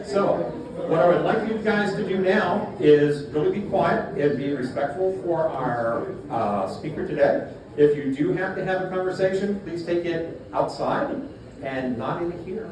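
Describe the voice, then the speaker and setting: Man hosting in a boomy hall. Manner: brisk, casual, uses fillers like "so". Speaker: event host. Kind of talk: introducing a speaker. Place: event space. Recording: echoey room from far off, noisy.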